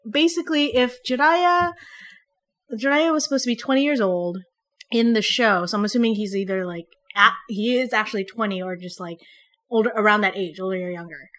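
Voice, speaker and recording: A lively young woman from the Philippines speaks with an American accent, her voice sitting a little high. She talks bluntly and casually, swearing freely as she co-hosts a chat about a TV show. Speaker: podcast co-host.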